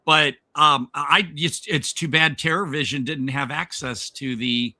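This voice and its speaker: A man's voice: fairly high pitch, measured pace, bright, forceful tone, no echo. A man hosting a casual film podcast, enthusiastic about the movie but hesitant and full of fillers as he builds his points. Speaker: podcast host.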